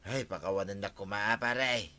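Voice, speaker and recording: A man's voice: fairly low pitch, moderate pace, bright, forceful tone. A man with an Ilocano accent acting a scheming, excuse-making neighbour in a radio comedy drama. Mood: apologetic.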